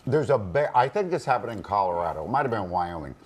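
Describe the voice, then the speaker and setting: A man's voice: fairly low pitch, big pitch swings, breathy edge. A man hosting a casual television talk show, playful and chatty. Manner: playful, chatty, quick to laugh. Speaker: TV host. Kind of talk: casual studio chat. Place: TV studio.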